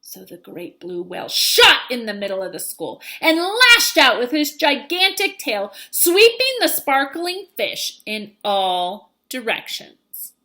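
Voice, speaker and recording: A woman's voice: fairly high pitch, sing-song, slow pace, hardly any pauses, pushed and bright. A woman teacher reading a children's storybook aloud, expressively and with dramatic emphasis.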